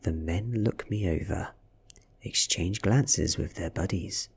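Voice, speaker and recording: A man with a British accent giving a breathy, hushed ASMR reading of a story, slow and deliberate. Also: low-pitched. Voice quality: breathy, whispery. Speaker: audiobook reader.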